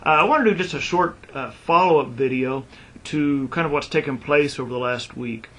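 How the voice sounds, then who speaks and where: A man giving an informal explanatory video talk as an instructor, halting and often correcting himself as he goes. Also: fairly high pitch, fairly bright tone. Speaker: instructor and business owner.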